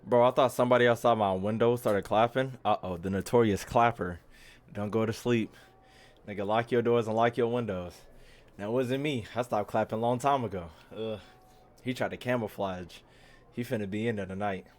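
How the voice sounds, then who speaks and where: A man's voice: fairly low pitch, moderate pace. A man with an American accent giving casual, slangy, profane and teasing livestream commentary as he plays a game. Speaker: game streamer.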